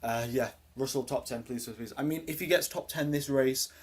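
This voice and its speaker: A teenage boy with a deep voice for his age, hosting a casual live race watchalong, rambling with lots of ums and uhs as he answers chat questions. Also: fairly quick, slightly rough. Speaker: live stream host.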